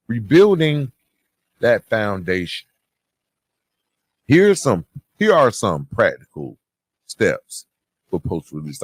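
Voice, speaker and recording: A man hosting a podcast, giving motivational advice in an earnest, conversational, gently preaching way with emphatic pauses. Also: deep voice, slightly rough, dry recording. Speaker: podcast host and author.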